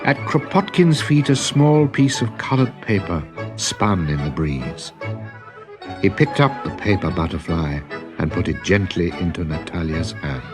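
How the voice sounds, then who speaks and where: Animated, unhurried male storyteller over music. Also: low voice. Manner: dramatic, deliberate storytelling with expressive swells and hushes. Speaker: storybook narrator. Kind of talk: scripted children's story narration. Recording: music behind the voice.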